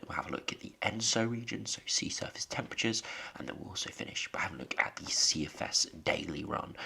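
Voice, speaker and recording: A man's voice: sits low, vocal fry, bright, forceful tone, slightly rough. A man with a cold, sounding bunged up, hosting a weather channel and explaining forecast charts with frequent fillers. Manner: explains with many fillers and restarts. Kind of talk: talking over forecast charts.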